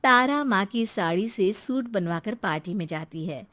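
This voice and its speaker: A woman's voice: mid-range voice, expressive, moderate pace, a bit bright. A woman narrating a scripted family moral story as its storyteller.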